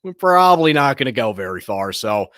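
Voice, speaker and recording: Slightly hoarse man giving sports takes. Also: medium pitch, sing-song, brisk, a bit bright, no echo, clean studio sound. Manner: rambling and opinionated, frequent restarts. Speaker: sports show host. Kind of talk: casual opinionated sports commentary.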